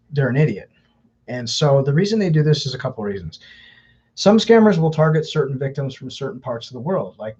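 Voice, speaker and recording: Guy hosting a live q&a, breathy voice, earnest advice, kinda muffled audio. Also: medium pitch, a little mellow. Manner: earnest, advising, repeats words often. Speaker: host answering viewer questions. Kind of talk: live Q&A, casual explaining.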